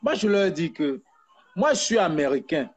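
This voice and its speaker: Man, emphatic appeal, repeats and restarts, high voice. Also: big pitch swings, normal speed, breathy edge, soft background music. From Cameroon. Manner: emphatic, repeats words and restarts while urging. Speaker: diaspora activist. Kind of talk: impassioned appeal.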